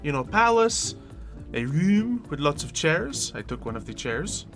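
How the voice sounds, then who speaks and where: An eccentric, mischievous schemer played by a roleplayer in an improvised game story. The voice swoops widely in pitch, theatrical and a little formal, with playful eagerness, and music plays behind it. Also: sing-song, measured pace. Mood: eager, scheming.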